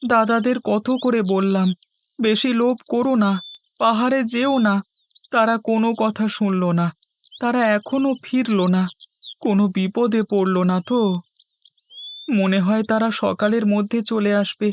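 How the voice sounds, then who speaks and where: A woman's voice: on the high side, fairly even intonation, unhurried, long pauses, a little hiss or hum, natural voice. Woman voicing an earnest, pleading young village boy. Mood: worried, pleading. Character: kind, honest, devoted youngest brother, a village boy. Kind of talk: dubbed fairy tale cartoon dialogue.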